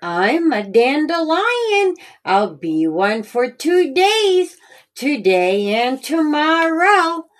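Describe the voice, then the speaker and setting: A playful young male storybook duck , voiced by a woman reading aloud, proudly declares himself in simple statements. The voice is high and lilting, and it takes its time over every word. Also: very animated, very slow, heavily acted.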